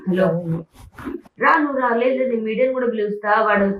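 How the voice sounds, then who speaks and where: A woman's voice: a little high, quick pace, phone-quality sound. A woman in a heated argument, speaking insistently and repeating herself over and over. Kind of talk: heated argument in a leaked recording. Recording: echoey amateur recording of an argument.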